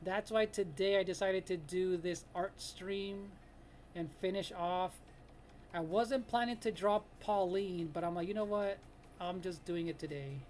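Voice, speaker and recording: Late-thirties art streamer, relaxed chat with viewers, frequent laughs, mid-pitched voice. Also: unhurried, slight background noise. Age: 30s. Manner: laughs often, greets chat viewers by name. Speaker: live-stream artist host. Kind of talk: casual live-stream chat while drawing.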